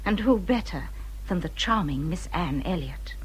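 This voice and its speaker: An embittered English widow in a period radio drama. Her voice is breathy and husky, with very animated pitch that rises and falls dramatically. She speaks formally and emphatically, as a wronged woman warning a friend. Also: normal speed. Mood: insistent. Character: bitter, injured widow warning a younger friend.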